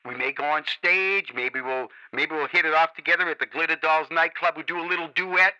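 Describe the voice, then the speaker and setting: A man hosting a radio show with a strikingly bright, cutting tone and a slightly high voice. He rolls out smooth, salesy DJ patter, pitching deals to his listeners. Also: a little fast, dull audio. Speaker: radio host. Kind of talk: hyped radio show chat.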